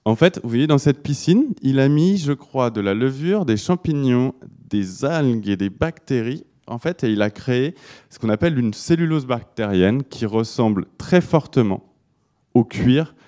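A man's voice: mid-range voice, animated, normal speed, mellow. A man giving a live talk to an audience about design, often restarting his sentences. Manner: hesitant, many restarts and fillers. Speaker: speaker presenting to an audience.

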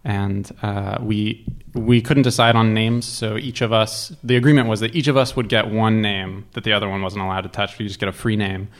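A man's voice: on the low side, fairly even intonation, moderate pace. A man talking casually as a guest, telling personal stories in a wry, self-deprecating way. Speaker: guest storyteller.

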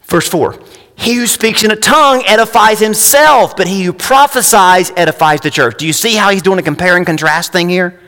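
A man's voice: sits high, normal speed, pushed and bright. A man preaching a conversational sermon, his voice rising with emphasis when he reads scripture. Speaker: pastor. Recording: long hall reverb.

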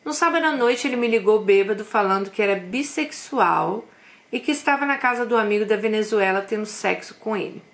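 A woman's voice: mid-range voice, vocal fry, fairly bright tone. Confiding woman telling a story. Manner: confiding, with frequent "né" asides to listeners. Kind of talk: first-person storytelling to an online audience.

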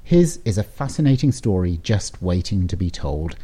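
Mellow, measured radio interviewer man. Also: deep voice, big pitch swings, breathy edge. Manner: measured, scripted-sounding questions.

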